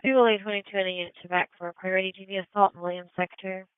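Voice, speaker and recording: A woman's voice: medium pitch, steady tone, very fast, smooth, no echo. A woman working as a police dispatcher, giving clipped, procedural calls with codes and addresses over a radio. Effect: police radio transmission. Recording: tinny narrow-band police scanner radio.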